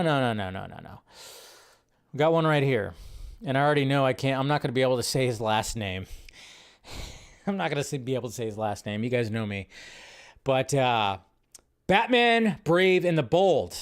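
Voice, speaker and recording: A man's voice: medium pitch, smooth. A man hosting a casual movie news show, rambling and laughing as he talks. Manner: rambling, laughs often, trails off. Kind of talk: casual solo commentary.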